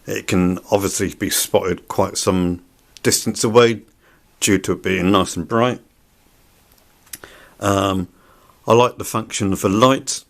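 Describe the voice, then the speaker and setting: A man's voice: fairly low pitch, fairly even intonation, slow pace, gravelly, pauses often. A man giving an unscripted product review in a plain-spoken, somewhat hesitant way. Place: indoors.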